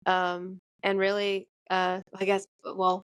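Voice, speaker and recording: A woman in a band, answering an interview question about her faith in an earnest, hesitant way with many pauses and fillers. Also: mid-range voice, monotone, fairly bright tone, clear, resonant tone, dry recording. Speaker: band member and church worship team singer.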